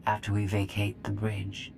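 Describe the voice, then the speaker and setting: A woman voicing a cold, calculating female droid with formal, measured, emotionless delivery in a video game. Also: extremely low pitch, completely flat delivery, over music. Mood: detached. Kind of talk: video game cutscene acting.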